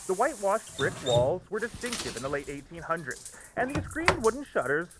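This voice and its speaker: A woman giving tour patter in an audio drama. She is informative, with lively intonation, under very loud background noise. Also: fairly low pitch, a little fast. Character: informative walking tour guide, keen on old buildings. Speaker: tour guide.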